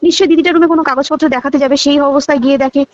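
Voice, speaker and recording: A young Bengali woman from the sound of her West Bengal accent, speaking very quickly in a high voice. She is insistent and conversational, repeating herself for emphasis, on a dull, lo-fi phone recording. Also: fairly even intonation, no echo. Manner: emphatic, insistent, repeats words and checks listener follows. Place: phone call.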